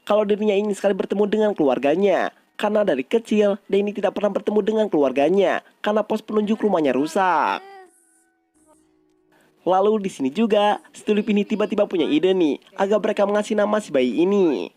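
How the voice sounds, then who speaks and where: A man's voice: sits high, expressive, a little fast, darkish tone. A man with an Indonesian accent narrating a story recap in an informal, scripted style.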